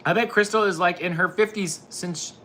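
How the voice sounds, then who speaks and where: A man's voice: high-pitched, moderate pace, sharp tone. A man in his forties hosting a livestream, chatting casually with viewers in a rambling, teasing way, with noise behind him. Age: 40s. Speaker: livestream host. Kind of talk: casual chat with live viewers.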